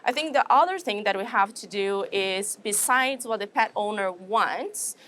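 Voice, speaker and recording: A woman with a Brazilian Portuguese accent answers questions as an interview guest. She explains things in a measured, careful way and often pauses on fillers and restarts. Her voice sits in a middle pitch. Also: lively intonation, fairly bright tone. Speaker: animal science professor, guest. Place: trade show.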